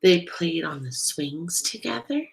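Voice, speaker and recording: A woman reading a picture book aloud to young children in an expressive, deliberate way, as a storyteller. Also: on the low side, creaky, background noise. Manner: animated, deliberate, pauses to ask listeners questions.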